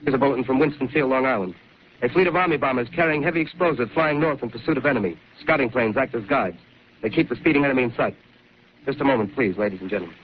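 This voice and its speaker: An actor playing a rapid radio newsreader. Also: male voice, medium pitch, close to an everyday voice. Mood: urgent. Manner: rapid formal bulletin reading. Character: male radio news announcer reading war bulletins. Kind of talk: 1930s radio drama acting. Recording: dull 1930s radio broadcast, faint hiss.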